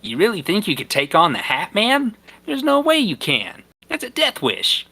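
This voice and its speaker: A man voicing a short, goofy, squeaky man in a cartoon fan story. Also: huge pitch swings, moderate pace, bright, forceful tone, slightly rough, heavily acted. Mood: incredulous.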